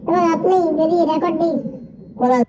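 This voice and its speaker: A man voicing a girl through a pitch-raising voice changer app, in an instructional tone. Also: normal speed, noisy background.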